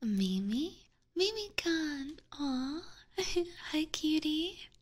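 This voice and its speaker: A woman chatting softly in a cutesy, giggly manner, often near a whisper, close to a binaural microphone as an ASMR streamer. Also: sits high, very animated, very slow. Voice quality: soft, breathy. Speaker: ASMR streamer and VTuber host. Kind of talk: soft ASMR livestream chat, partly whispered.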